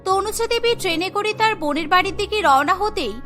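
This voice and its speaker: A woman's voice: very high-pitched, lively intonation, fast, a bit bright. A woman narrating a scripted cartoon story. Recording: music behind the voice. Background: music.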